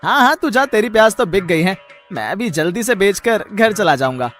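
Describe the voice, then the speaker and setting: A man's voice: sits high, very animated, normal speed, fairly bright tone, soft background music. A man playing a greedy, irritable, boastful onion seller in a cartoon story, speaking emphatically and repeating himself. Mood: irritated. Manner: exaggerated, emphatic, repeats words. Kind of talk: animated moral story acting.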